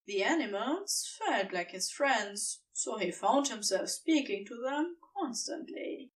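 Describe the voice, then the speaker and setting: Expressive, slow-paced woman narrating fiction. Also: a little high, distant mic, poor audio. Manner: deliberate, storytelling delivery with dramatic pauses. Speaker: audiobook narrator.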